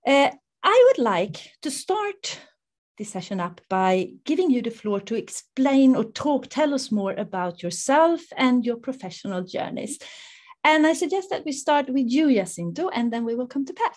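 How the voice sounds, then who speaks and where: A middle-aged woman with a Swedish accent, formally introducing an online seminar as its host in a measured way. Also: high voice, big pitch swings, darkish tone. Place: indoors in front of a dark backdrop, video call.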